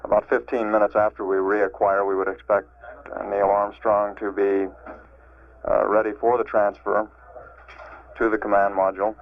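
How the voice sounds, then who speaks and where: Low, flat American man over narrow-band radio. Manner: halting, matter-of-fact official delivery with frequent pauses. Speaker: mission control public affairs announcer. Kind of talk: measured live spaceflight status commentary. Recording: narrow-band, phone-like archival radio transmission.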